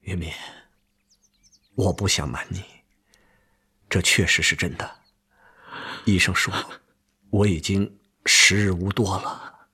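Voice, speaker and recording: A gravely ill man in a radio drama, resigned and earnest. His low voice is badly husky and frail, and he moves slowly and haltingly through each phrase. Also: fairly even intonation, bright, forceful tone, exaggerated voice. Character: gravely ill older army friend, weary, resigned, earnest. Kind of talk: broadcast radio drama acting.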